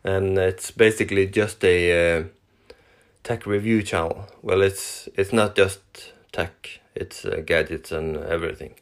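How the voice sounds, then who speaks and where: A Norwegian-accented man with a low, deep voice talks through his first solo podcast at an unhurried pace. He speaks English as a second language and fills his pauses with frequent fillers and false starts. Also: fairly even intonation, clean tone. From Norway. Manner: hesitant, many fillers and restarts. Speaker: podcast host.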